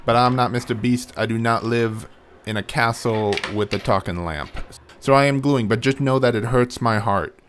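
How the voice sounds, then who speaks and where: A man's voice: medium pitch, normal speed, a bit bright, a little hiss or hum. A man hosting a DIY tech video, narrating casually with informal, wry, self-deprecating humor. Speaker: host of a DIY tech project channel.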